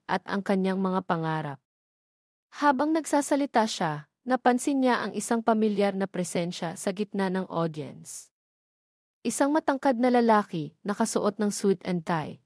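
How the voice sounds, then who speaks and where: A woman's voice: mid-range voice, darkish tone, no room sound. Female storyteller, scripted romance narration, mid-range voice, very dry recording.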